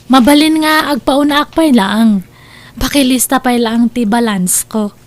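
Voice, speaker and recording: Casting: actress as evasive indebted wife, Ilocano, high-pitched, hesitant and slippery, for comedic radio drama. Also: female voice, unhurried, close to an everyday voice. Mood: coaxing. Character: evasive married woman who spends on credit, hides her debts.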